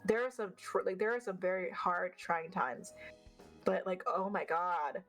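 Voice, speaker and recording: A woman with a deeper voice and a slight stutter, chatting fast and bluntly as an interview guest, swearing freely. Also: fairly bright tone, soft background music. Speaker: vtuber guest. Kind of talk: casual interview chat.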